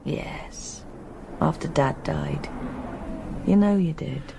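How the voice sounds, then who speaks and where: Soft hesitant mum in her 30s, fragile, bit of fry, radio drama. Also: low voice, takes it slowly, whispered, heavily acted. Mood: grateful. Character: fragile widowed mother in her thirties, doubting herself. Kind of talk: intimate radio drama acting.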